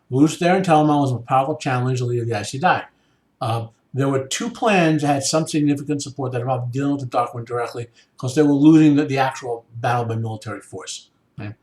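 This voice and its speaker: A man hosting a podcast, giving informal explanatory commentary in a halting way, often checking in with a questioning okay. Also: mid-range voice, darkish tone. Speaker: podcast host. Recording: echoey room sound.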